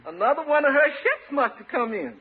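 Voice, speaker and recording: On a tinny old radio recording, a sassy housekeeper talks in a very high, folksy voice. She is cheerful and teasing, with a lively swing to her lines. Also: a little fast. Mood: gossipy. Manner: folksy, colloquial, exclamatory. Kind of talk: 1940s-50s radio comedy acting.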